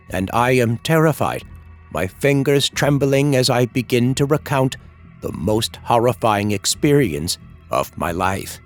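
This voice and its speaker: A man narrates a scary first-person story with suspense and drama. His mid-pitched voice rises and falls widely, with music playing underneath.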